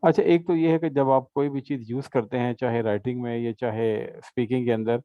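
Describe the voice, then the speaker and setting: A man's voice: medium pitch, steady tone, fairly quick, no echo, phone-quality sound. A man tutoring an English class in a casual session, patiently explaining with examples and checking understanding. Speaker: English teacher.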